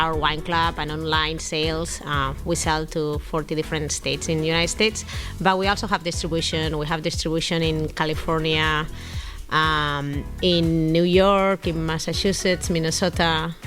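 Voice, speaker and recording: Low-voiced Spanish-accented woman. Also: a bit bright. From Barcelona, Spain. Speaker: winemaker interview guest. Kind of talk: casual interview chat.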